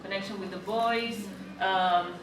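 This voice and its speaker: A woman's voice: medium pitch, fairly even intonation, unhurried, background noise. A woman from Argentina with an Argentine accent, a native Spanish speaker, giving casual answers as an interview guest. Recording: echoey, distant mic, background chatter.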